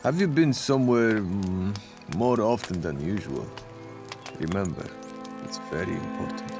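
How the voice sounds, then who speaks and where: An actor playing a gruff, down-to-earth officer with a blunt, questioning way of talking. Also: male voice, fairly low pitch, animated, a little slow. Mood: probing. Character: gruff, down-to-earth male officer investigating a disaster. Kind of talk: video game voice acting. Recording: music behind the voice.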